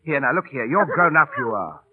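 An actor playing a cheeky, big-hearted London Cockney street entertainer, kindly but bossy, coaxing with repetitive patter in old radio drama style. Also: male voice, mid-range voice, very animated, fairly quick, crowd noise, natural voice. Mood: scolding, kindly. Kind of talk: 1930s-40s radio drama acting. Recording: scratchy, noisy, tinny old radio broadcast.

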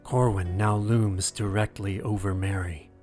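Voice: deep voice, steady tone, measured pace, a little mellow, faint music behind. A narrator reading scripted scene descriptions for an audio drama.

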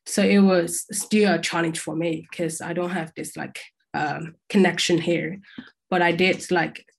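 A young woman from China speaks on an online panel in a lowish voice with heavy vocal fry. Her delivery is chatty, and "like" comes up constantly. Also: fairly even intonation, measured pace. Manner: chatty, frequent "like" fillers. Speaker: alumni panellist, former international student. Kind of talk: panel discussion answer.

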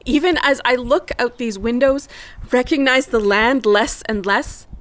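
A woman acting a wronged, resolute middle-aged wife in a poetic audio drama monologue, intense and deliberate. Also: on the high side, a bit bright. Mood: wistful, haunted. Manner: slow, deliberate, intense delivery.

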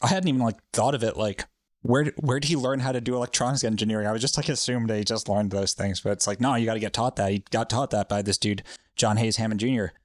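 A man's voice: lowish voice, quick pace, no echo, studio quality. A man hosting a podcast, telling a story in a loose, rambling, profane way with lots of fillers. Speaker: podcast host. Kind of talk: casual storytelling chat.

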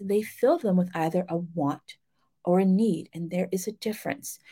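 A woman's voice: lowish voice, dark tone. A middle-aged woman giving an informal, explanatory lesson on writing in a chatty, self-deprecating way. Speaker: writing instructor and romance novelist.

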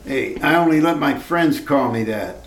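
A man playing a germ-phobic male gangster in a retro radio drama, talking gruffly in tough-guy slang. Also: medium pitch, lively intonation, normal speed, close to an everyday voice. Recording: roomy echo.

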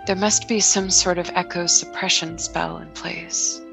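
A woman performing improvised scene-setting narration in a deliberate manner. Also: on the low side, fairly even intonation, gravelly, over music. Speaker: improv comedy podcast performer.

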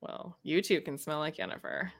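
A woman's voice: low-pitched, normal speed. A woman co-hosting a casual book discussion podcast, talking in a wry, playfully opinionated way.

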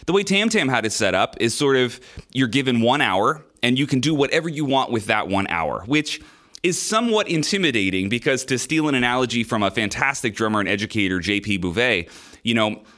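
A man's voice: mid-range voice, big pitch swings, fairly quick, fairly bright tone. A man hosting a podcast, talking in a candid, casual way and sounding nasal from a sinus infection, with many fillers and repeated words. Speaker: podcast host and drummer.

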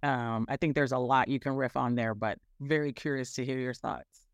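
A man's voice: mid-range voice, steady tone, normal speed, no echo. A man hosting a casual interview, upbeat and encouraging, asking questions and offering short affirmations. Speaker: interview host.

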